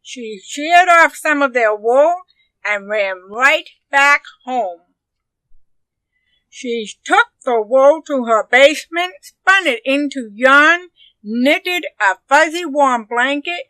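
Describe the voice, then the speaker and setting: Casting: female storybook reader, high bright voice, expressive and unhurried, dramatic phrasing. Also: no echo, rough recording. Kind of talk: expressive children's story narration.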